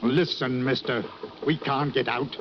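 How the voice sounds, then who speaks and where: An actor playing a blunt, brusque fishing-boat captain and uncle, in 1940s radio acting. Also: male voice, mid-range voice, fairly even intonation, measured pace, lightly acted. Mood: wary. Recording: dull old radio recording with hiss.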